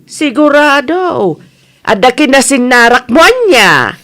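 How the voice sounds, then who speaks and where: A woman's voice: high voice, sing-song, slow, a bit bright, exaggerated voice. A woman playing a stern, suspicious older mother in a comedy radio drama, with a Philippine accent. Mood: suspicious, scolding. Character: stern, suspicious older mother grilling her grown child.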